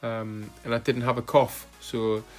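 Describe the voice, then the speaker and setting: Man, casual voice-over, flat monotone delivery, frequent uhs and ums, faint music underneath. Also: medium pitch, a little slow. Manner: relaxed, hesitant with frequent fillers. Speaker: cycling vlogger. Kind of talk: casual voice-over narration of ride footage.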